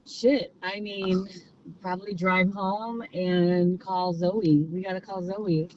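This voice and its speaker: A woman's voice: on the low side, steady tone, takes it slowly. Middle-aged female phone guest, casual and conversational, lo-fi muffled line with faint noise. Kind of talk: casual chat. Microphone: phone call. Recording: dull noisy phone call.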